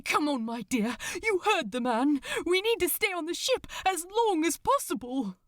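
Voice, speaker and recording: Woman voicing an urgent, high-pitched male angel. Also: very animated, normal speed, fairly bright tone, dry recording, clean studio sound, exaggerated voice. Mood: urgent pleading. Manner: exclaiming, insistent. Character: urgent, fussy male angel pleading with companion. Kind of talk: audiobook character acting.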